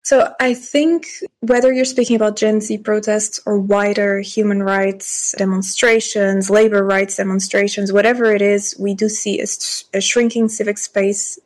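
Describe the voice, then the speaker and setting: A woman in her twenties from Portugal, a politician answering interview questions thoughtfully, with pauses and restarts. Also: mid-range voice, fairly even intonation, darkish tone. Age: 20s. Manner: thoughtful, hesitant with fillers and restarts. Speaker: politician, guest.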